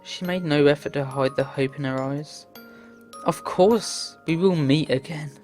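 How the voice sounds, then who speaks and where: A man's voice: on the high side, measured pace, darkish tone. A man reading visual novel text aloud in an earnest, theatrical way as a game playthrough host. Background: game music.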